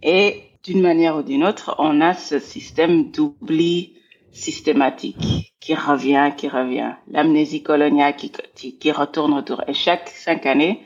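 Gravelly, husky woman in her forties. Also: sits low, steady tone, measured pace, dull audio. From Belgium. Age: 40s. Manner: thoughtful, repeats words while searching for phrasing. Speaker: guest, scholar of international relations. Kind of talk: reflective interview talk.

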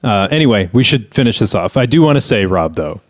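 A man's voice: on the low side, very animated, a little fast. A man co-hosting a podcast in casual banter, critiquing a script in an explanatory way, often restarting mid-thought. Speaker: podcast co-host.

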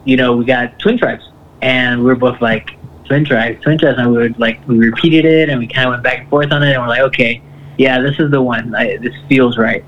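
A man, a band's keyboardist and bassist, telling a story in a loose, rambling way full of fillers. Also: fairly even intonation, fairly quick, lo-fi sound, a little hiss or hum. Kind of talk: casual interview.

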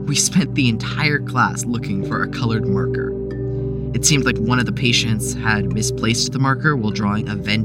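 Woman playing very low-voiced sardonic teen, slow-paced. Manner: dry, sarcastic, hesitant. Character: sardonic, world-weary seventeen-year-old patient. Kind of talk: audio drama monologue and dialogue. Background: music.

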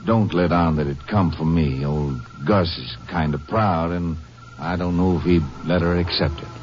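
An actor playing a gruff middle-aged American rancher father, remorseful and plain-spoken, in a 1950s western radio drama. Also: low-pitched, moderate pace, a little mellow, faint music behind, close to an everyday voice. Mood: sheepish, earnest. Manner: folksy, plain-spoken country talk. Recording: dull, noisy old radio recording.

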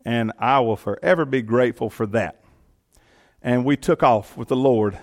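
A man's voice: medium pitch, normal speed. A middle-aged man with a Georgia accent, a county commission chairman, giving a personal testimony in an earnest, folksy way. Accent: Southern US, Georgia.

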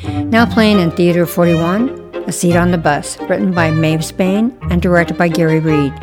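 A woman's voice: on the low side, fairly even intonation, normal speed. A woman hosting a theater podcast, reading a scripted announcement. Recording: clear music behind the voice. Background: music with strings.

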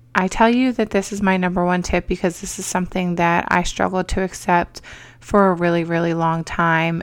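A woman hosting a motivational podcast in an upbeat, encouraging, chatty way, her voice slightly raspy from a cold. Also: on the low side, no room sound. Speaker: podcast host.

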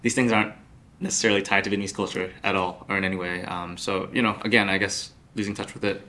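Low-voiced young man, hesitant and thoughtful. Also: steady tone, fast, pushed and bright. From United States. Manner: thoughtful, hesitant, many fillers and restarts. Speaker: guest. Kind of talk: podcast interview.

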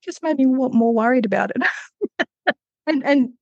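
A woman's voice: sits high, lively intonation, unhurried, a little mellow, dry recording, highs cut off. A woman answering interview questions as an author, conversational, with thoughtful and hesitant phrasing. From Australia.

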